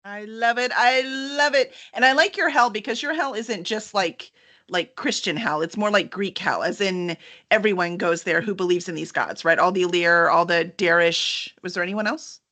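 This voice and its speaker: A woman's voice: medium pitch, moderate pace, sharp tone. A woman interviewing a guest in a casual, conversational style as host. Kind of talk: casual, appreciative book interview.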